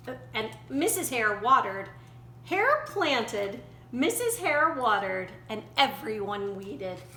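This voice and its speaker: A woman storyteller reading a children's picture book aloud in an animated way. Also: a little high. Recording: roomy echo, faint background noise.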